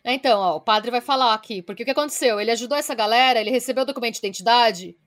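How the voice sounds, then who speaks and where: A woman's voice: on the high side, very fast, a bit bright. A Brazilian woman co-hosting a podcast, retelling a story from her research in a blunt, casual way with some swearing. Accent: Brazilian Portuguese. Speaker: podcast co-host.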